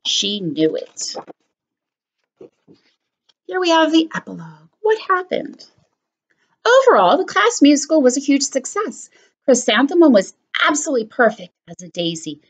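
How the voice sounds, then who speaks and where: Elementary teacher narrating a picture book, lively and expressive. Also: female voice, high voice, moderate pace. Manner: expressive, animated storytelling. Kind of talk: reading a picture book aloud.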